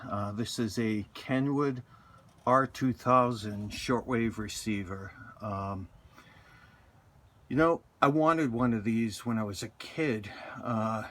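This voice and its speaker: A middle-aged man from North America giving a casual, halting, unscripted hands-on show-and-tell as a hobbyist, recorded on a handheld camera mic. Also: on the low side, a bit bright. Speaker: radio hobbyist presenting a find. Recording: faint background noise, handheld camera clips.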